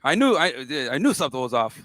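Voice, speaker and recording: A man debating students on a college campus in a blunt, mocking way, firing back quick comebacks. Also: fairly high pitch, sing-song, fairly bright tone.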